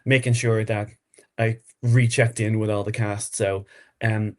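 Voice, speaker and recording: A man's voice: on the low side, little pitch movement, a little slow, a little mellow. A man talking casually as a podcast guest about his writing, enthusiastic and rambling, often restarting his sentences. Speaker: podcast guest, horror fiction writer. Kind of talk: casual interview chat.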